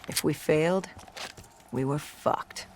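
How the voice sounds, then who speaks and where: A woman's voice: low voice, slow pace, darkish tone, slight background noise. A woman playing a defiant, battle-weary soldier in a video game, grimly and bluntly narrating a mission. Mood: grim recounting. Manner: blunt, bitter, grim.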